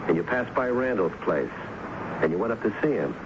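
An actor playing a stubborn, needling police lieutenant in a radio drama, insistent and accusing. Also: male voice, lowish voice, lively intonation, brisk, lightly acted. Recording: noisy, muffled old radio broadcast recording.

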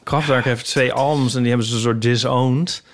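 A man's voice: mid-range voice, steady tone, a little fast, slight background noise. A man co-hosting a podcast, chatting casually and often searching for words. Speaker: podcast co-host. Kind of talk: casual chat.

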